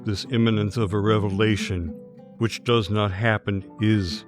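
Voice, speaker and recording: Low-voiced man reciting literary prose. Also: steady tone, normal speed, over music. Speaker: spoken-word performer.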